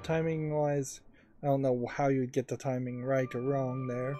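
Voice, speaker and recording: A man's voice: medium pitch, little pitch movement, normal speed, warm tone. A man giving casual game commentary, thinking aloud in a musing, hesitant way. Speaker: let's player. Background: game music.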